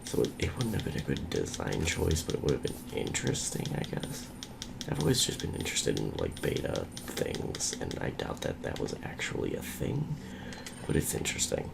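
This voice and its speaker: Young guy whispering his way through a game, stuffy nose, super low rough voice, loud hiss behind. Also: huge pitch swings, normal speed. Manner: soft, rambling, half-whispered. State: nasal from a cold. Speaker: let's play host. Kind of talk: whispered ASMR gameplay commentary.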